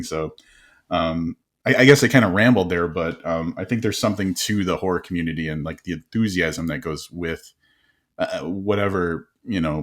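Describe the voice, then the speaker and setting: A young man hosting a casual interview podcast, talking in a rambling, animated way and laughing often. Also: deep voice. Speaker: podcast host.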